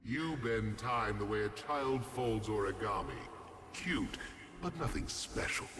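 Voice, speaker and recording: An actor playing a haughty, ancient star dragon in a video game, speaking with pompous, theatrical, condescending sarcasm. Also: male voice, on the low side, expressive, measured pace, noisy background. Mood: mocking. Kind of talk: video game character voice lines.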